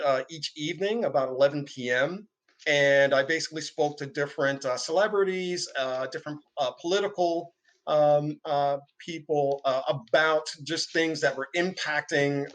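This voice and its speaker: A man's voice: mid-range voice, fairly bright tone. Upbeat guy from new york city, middle-aged, lotta uhs, guesting on a podcast. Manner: upbeat, lots of uh fillers. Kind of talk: interview.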